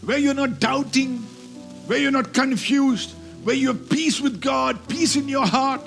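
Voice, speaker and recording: High-pitched male preacher, emphatic delivery. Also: a little slow, gravelly, a bit bright, faint music behind. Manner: emphatic, repeats key phrases for emphasis. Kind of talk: sermon with scripture reading and call-and-response.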